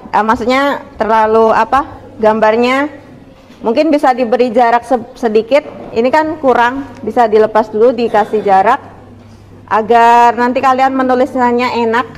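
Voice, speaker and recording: High-voiced woman teacher in an echoey room. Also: a little slow. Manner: gently instructing with frequent checking questions. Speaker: school teacher guiding student groups. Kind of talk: informal classroom coaching. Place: indoor school classroom. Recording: echoey room with background noise.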